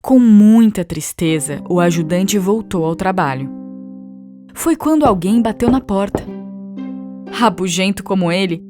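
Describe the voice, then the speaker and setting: A woman reading scripted narration for an animated children's story. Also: medium pitch, a little slow, over music.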